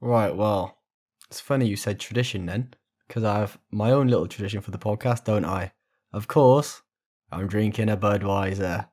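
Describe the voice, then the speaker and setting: A man's voice: fairly low pitch, moderate pace, darkish tone. A man co-hosting a casual podcast, telling laid-back stories and swearing freely. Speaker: podcast co-host.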